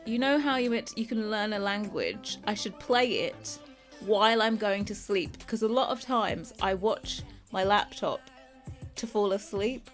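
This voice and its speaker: A young woman with a British accent talks casually to her stream audience, wandering off track and catching herself with a laugh. Her voice sits at an ordinary middle pitch. Soft music plays faintly behind her. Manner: rambling, chatty, laughs often, loses her train of thought. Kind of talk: casual chat with livestream viewers.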